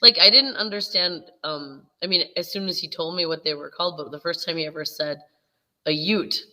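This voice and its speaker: A woman with a Minnesota accent co-hosting a casual chat, chatty and quick to laugh. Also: on the low side, sharp tone, in a large hall. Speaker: co-host.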